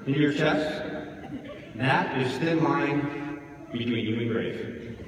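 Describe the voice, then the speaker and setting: Man acting out a fierce, loud preaching priest. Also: medium pitch, slow, pitched above the performer's own voice, natural voice. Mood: fierce, urgent. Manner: fiery, hectoring, rhetorical questions. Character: intense, scary Ukrainian priest preaching about death. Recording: echoey hall from far off, faint noise.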